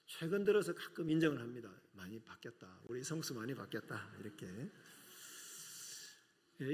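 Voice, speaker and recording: A man's voice: a little high, a bit bright. A middle-aged man with a regional Korean accent preaching a sermon to a congregation, earnest, with rhetorical questions. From South Korea, outside Seoul. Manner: earnest, rhetorical questions, frequent filler "yes". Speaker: preacher, seminary professor.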